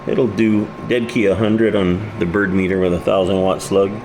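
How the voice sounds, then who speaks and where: A man's voice: on the low side, normal speed. A man giving an informal, unscripted hands-on explanation of his hobby project in a blunt, colloquial, plain-spoken way. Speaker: hobbyist presenter demonstrating his amplifier project. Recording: loud steady background hum.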